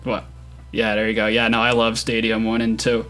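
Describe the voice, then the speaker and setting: A young man hosting a casual online interview, easygoing and quick to agree with his guest. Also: on the low side, completely flat delivery, fairly bright tone, soft background music. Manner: easygoing, affirming. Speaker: host, interviewer.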